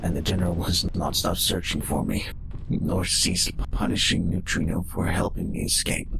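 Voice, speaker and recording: A man's voice: sits low, flat delivery, gravelly, over music. A man voicing a learned, formal alien robot scientist telling a mournful history in a dramatic audio comic. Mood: sorrowful. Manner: formal, halting, elaborate wording. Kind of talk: dramatic expository narration in a fan audio comic.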